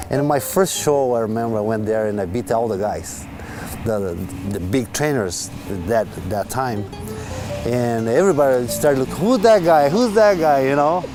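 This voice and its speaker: A man from Brazil with a Brazilian accent and non-native English grammar, telling his own story casually as a horse trainer. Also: mid-range voice. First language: Portuguese. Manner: non-native grammar, animated quoting of others. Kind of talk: casual personal storytelling in a documentary interview. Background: music.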